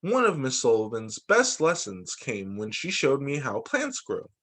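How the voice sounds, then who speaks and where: A man reading a picture book aloud to children as a teacher, in an expressive, dramatizing way. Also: mid-range voice, measured pace. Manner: expressive, dramatizing quoted lines.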